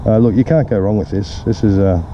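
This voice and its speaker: A middle-aged man giving a relaxed, plain-spoken review of a racing drone in an open park, recorded on a camera mic. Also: on the low side, a little fast, dull audio, slight background noise. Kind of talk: casual outdoor product review.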